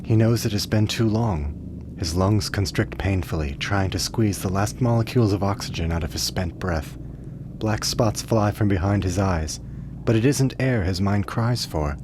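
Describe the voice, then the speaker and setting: A man narrating a radio play as a scripted story, with a measured, literary delivery. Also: fairly low pitch, noisy background.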